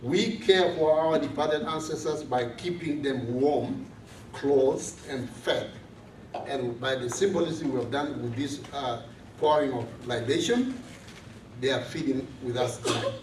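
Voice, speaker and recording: A priest from South Sudan, giving a measured, earnest talk to a student gathering. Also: male voice, medium pitch, a little hiss or hum. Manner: slow, measured, earnest speaking. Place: large room on a college campus. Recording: echoey room from far off.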